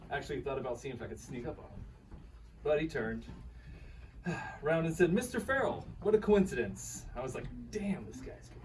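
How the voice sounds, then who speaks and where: A man's voice: mid-range voice, natural voice. A man playing a sheepish, self-doubting husband telling his story in a live radio play reading. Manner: conversational, rueful, animated storytelling. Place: live reading at a bookshop event. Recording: distant mic, faint room noise.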